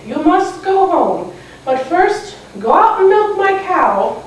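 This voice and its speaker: A woman voicing a kindly little old lady in a storybook tale, with a doting, drawn-out, sing-song delivery. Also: very high voice, big pitch swings, heavy reverb, distant mic, a little hiss or hum, pitched above the performer's own voice, heavily acted, rough recording. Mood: kindly instructing. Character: kindly little old lady, sweet mysterious woodland stranger.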